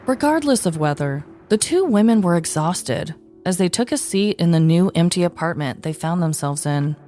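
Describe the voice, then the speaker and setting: A woman co-hosting a comedy podcast, casual and playfully expressive as she chats and tells stories. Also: on the low side, normal speed, faint music behind. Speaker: podcast co-host. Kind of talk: casual comedic chat and storytelling.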